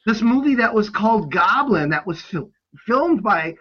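Casting: male movie-podcast panelist, high-pitched, ardent and rambling, muffled recording. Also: big pitch swings, normal speed, slightly rough. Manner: passionate, rambling fanboy enthusiasm. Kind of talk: casual film discussion.

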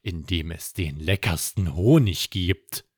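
A man voicing a slow, honey-loving cuddly toy bear in a children's bedtime story, humming before he speaks. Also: sits low, darkish tone, breathy edge, dry recording, studio quality, voice pushed down, full character voice. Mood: calm, dreamy.

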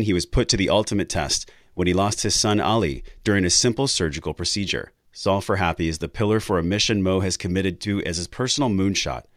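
Deep-voiced enthusiastic male podcast host. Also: dry recording, clean studio sound. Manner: enthusiastic, effusive. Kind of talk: scripted intro then interview questions.

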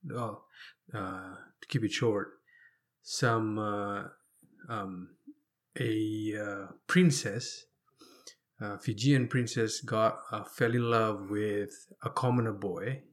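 Low-voiced man telling his life story. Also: fairly even intonation, slow. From Fiji. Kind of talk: conversational personal storytelling.

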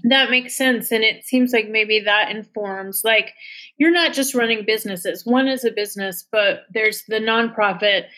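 A woman's voice: mid-range voice, fairly bright tone, rough recording. A woman hosting an interview in a chatty, casual way, leaning heavily on "like" as she asks her questions. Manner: chatty, lots of "like" fillers. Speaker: interview host.

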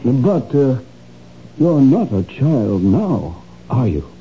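A calm, probing psychiatrist. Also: male voice, mid-range voice, slight background noise. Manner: soothing, measured. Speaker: doctor. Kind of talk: 1970s radio drama acting. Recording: dull old radio broadcast.

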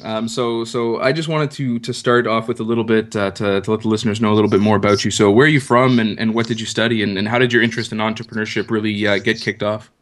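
Low-pitched male podcast host, hesitant. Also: fairly even intonation, quick pace, few pauses, a bit bright. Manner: hesitant, repeats words when asking questions. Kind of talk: casual interview hosting.

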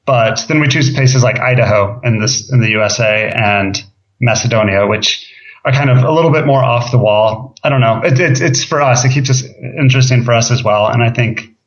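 A man from Ohio, a guest chatting casually in an interview, relaxed, with fillers and repeated words. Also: mid-range voice, moderate pace. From Ohio, USA. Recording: dull lo-fi sound.

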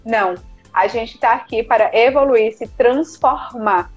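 A woman's voice: a little high, unhurried, breathy edge, dull audio, soft background music. A woman from Recife with a mixed northeastern Brazilian accent, speaking as a podcast guest in an earnest, motivational way over a video call. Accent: mixed northeastern Brazilian Portuguese. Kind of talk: casual interview talk.

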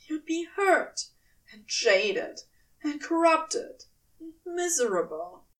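A woman's voice: high-pitched, sing-song, slow pace, distant mic, pitched above the performer's own voice, exaggerated voice, rough recording. A woman puts on a male demon for an audio story, defensive yet apologetic. He speaks bluntly and without hurry, with a wry edge. The recording sits slightly away from the microphone. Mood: pleading.

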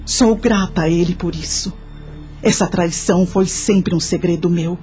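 An actress playing a grateful, remorseful widowed mother, narrating her life in a confiding, measured way for a radio drama. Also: on the low side, warm tone, soft background music, close to an everyday voice. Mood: sorrowful, confessional.

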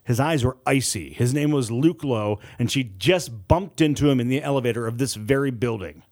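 A man's voice: medium pitch, moderate pace, a little mellow. A man reading a novel aloud as scripted, dramatic audiobook narration.

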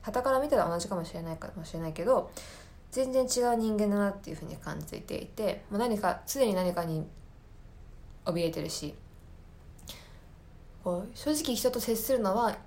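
A woman hosting a podcast in a reflective solo talk, speaking earnestly and often pausing to search for her words. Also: mid-range voice, lively intonation, darkish tone. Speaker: podcast host. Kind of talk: reflective solo talk, personal film commentary.

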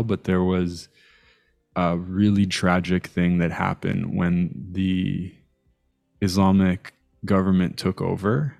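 A man originally from Iran, raised in Canada, talks reflectively as a podcast guest. His voice is very deep and gravelly, and his phrasing is slow and searching. Faint music sits underneath at times. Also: a little mellow. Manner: thoughtful, searching, hesitant phrasing. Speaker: interview guest. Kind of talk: reflective conversation.

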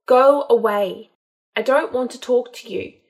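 A bitter young witch played by a woman audiobook reader, drunk and tearful. She lashes out defiantly and emotionally in a raised, high voice. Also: normal speed, lightly acted. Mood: angry, defiant. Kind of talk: dramatised audiobook narration.

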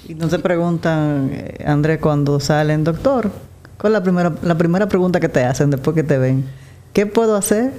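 A man's voice: a little high, normal speed, warm tone, a little hiss or hum. A man hosting a podcast, asking chatty, jokey follow-up questions in a casual interview. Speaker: podcast host.

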